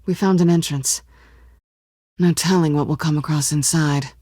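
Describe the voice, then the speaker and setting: An American woman playing a sly, guarded cat-burglar in a video game, teasing and coolly wry, with a husky, breathy voice. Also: low-pitched, fairly even intonation, moderate pace, dark tone, dry recording, clean studio sound. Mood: cool, watchful.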